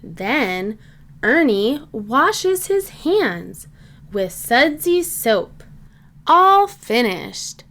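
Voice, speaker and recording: A woman reads a picture book aloud for small children. Her voice sits fairly high and swings expressively up and down. She takes the simple sentences very slowly, phrasing each one deliberately. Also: big pitch swings, fairly bright tone. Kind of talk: read-aloud children's storybook narration.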